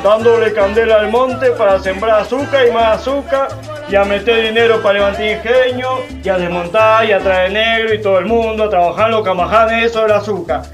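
An actor playing a folksy, indignant veteran cane cutter with a Cuban accent, colloquial and exclamatory in a radio drama. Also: male voice, sits high, brisk, close to an everyday voice. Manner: colloquial, exclamatory, vivid storytelling. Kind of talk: radio drama monologue in casual talk. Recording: faint music behind.

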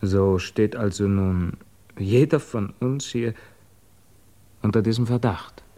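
A man playing a guarded, evasive émigré physicist under suspicion in a 1950s German radio drama, speaking formally and hesitantly, quietly troubled. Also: lowish voice, slow, dry recording. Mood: grave, guarded.

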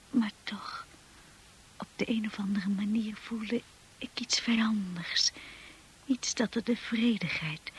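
An actress playing a timid, anxious young bride in a radio play, narrating and speaking in a hushed, hesitant way. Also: female voice, mid-range voice, slight background noise, natural voice, poor audio. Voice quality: breathy, husky.

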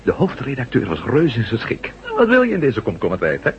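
An actor playing a headstrong, sardonic ex-astronaut turned newspaper reporter in a radio drama, with a wry, theatrical delivery. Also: male voice, medium pitch, huge pitch swings, fairly quick. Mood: brisk, amused. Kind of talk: radio drama acting in Dutch. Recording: muffled radio drama recording, clear hiss and hum.

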